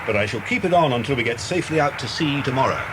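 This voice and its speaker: An actor playing a genial, reassuring British detective and family friend in a children's audio drama. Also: male voice, expressive, fast talker, lightly acted. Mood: hearty, reassuring. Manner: hearty, avuncular. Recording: noisy cassette with vehicle rumble.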